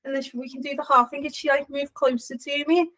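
A young woman co-hosting a podcast, telling excitable stories and acting out what people said, on a sofa into a boom mic. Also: quick pace. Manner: excitable storyteller, acts out quotes. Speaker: podcast co-host. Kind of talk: casual chat. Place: small wood-panelled room, on a sofa. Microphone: desk mic on boom arm.

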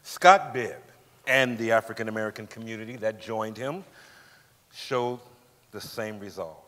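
A man's voice: lowish voice, measured pace, bright, forceful tone. A middle-aged man giving a scripted dramatic reading as narrator on a theatre stage. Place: large indoor theatre stage. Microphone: lapel. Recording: echoey theatre hall.

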